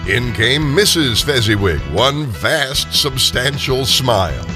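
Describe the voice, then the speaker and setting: An older man narrating a scripted story dramatically, with expressive, deliberate phrasing. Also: mid-range voice, music in the background.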